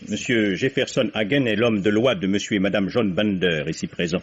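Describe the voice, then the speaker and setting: An actor playing a stern, authoritative attorney general who speaks formally and deliberately in a vintage French radio play. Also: male voice, lowish voice, fairly even intonation, slightly rough. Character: stern, official male attorney general running an inquiry. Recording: dull vintage radio recording.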